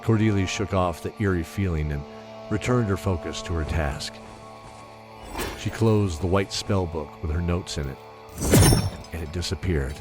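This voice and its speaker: A man reading scripted fantasy narration in a measured, solemn storyteller's manner. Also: low voice, music in the background.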